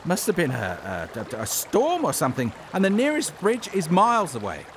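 A nervous, whiny guide in a comedic radio play. His high voice swings wildly up and down as he stammers through evasive excuses. Water sounds run clearly behind him. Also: huge pitch swings, normal speed, a little hiss or hum. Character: nervous, reluctant male woodland guide, evasive and eager to please. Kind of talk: comedic audio drama acting.

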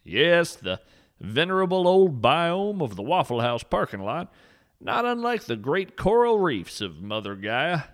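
A man's voice: mid-range voice, fairly bright tone. A man hosting and narrating a whimsical scripted nature podcast with an earnest, poetic, mock-solemn delivery.

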